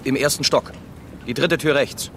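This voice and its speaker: An actor playing a young, formal, terse military cadet in a radio crime drama. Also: male voice, medium pitch, moderate pace, a bit bright, a little hiss or hum, lightly acted. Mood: formal, reserved. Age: young adult.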